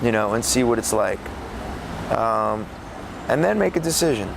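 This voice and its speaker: A man's voice: on the low side, lively intonation, normal speed, creaky, noisy background. A man giving rambling advice in an interview. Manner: advising, rambling.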